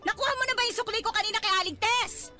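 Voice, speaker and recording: A scolding mother shrieks at her children in a radio drama. Her voice is piercing and very high, and loud music sits underneath it. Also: racing. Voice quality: shrill, nagging. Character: shrill scolding mother of a poor family. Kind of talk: Tagalog radio drama acting. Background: loud background music.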